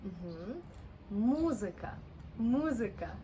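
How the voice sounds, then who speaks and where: Slow-talking Russian-accented woman teacher. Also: medium pitch, expressive, dark tone, a little hiss or hum. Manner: slow, repeats words, laughs often. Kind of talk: friendly vocabulary lesson.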